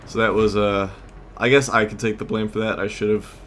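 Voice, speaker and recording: A man's voice: on the low side, flat delivery, normal speed, fairly bright tone, a little hiss or hum. A man giving casual gameplay commentary as a let's play host, thinking aloud in a conversational way.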